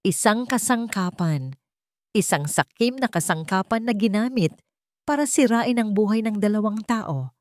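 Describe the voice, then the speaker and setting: A woman reading a scripted love story as narrator, solemn and measured, with dramatic pauses. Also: mid-range voice, lively intonation.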